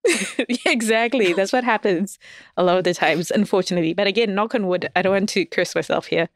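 A woman's voice: medium pitch, fairly quick, dry recording. Chatty laughing woman, dry close sound. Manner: laughs often, animated storytelling. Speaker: guest, audio fiction writer. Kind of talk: casual interview chat.

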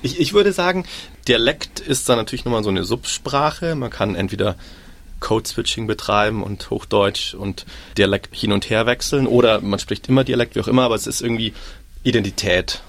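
A man's voice: lowish voice, brisk, creaky, a bit bright, dry recording. A man moderating a panel discussion, putting questions to the group in an engaged way. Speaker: host, moderator.

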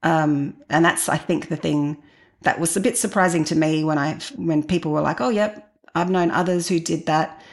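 Woman, forties, low voice, gravelly fry, reflective storyteller, candid, conversational. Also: moderate pace. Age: 40s. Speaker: interview guest.

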